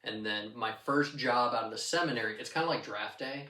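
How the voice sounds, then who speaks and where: A young man from Texas, a pastor, telling stories in a relaxed, easygoing way. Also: medium pitch, a little fast, slight background noise. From Texas, USA. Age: young adult. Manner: relaxed storyteller, easygoing and joking. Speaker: pastor and podcast guest. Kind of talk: casual interview talk. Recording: roomy echo, mic at a distance.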